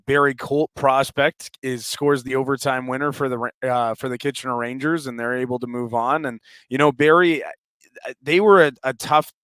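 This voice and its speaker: A man's voice: medium pitch, fairly bright tone, smooth, no echo. A man who works as a sports announcer, giving talkative, detailed analysis as an interview guest. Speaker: hockey play-by-play announcer, show guest.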